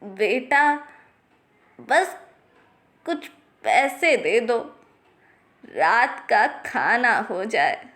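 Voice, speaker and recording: A woman voicing a humble, kindly old beggar man with slow, halting, gentle delivery in a children's story. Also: on the high side, very animated, full character voice. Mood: humble, weary.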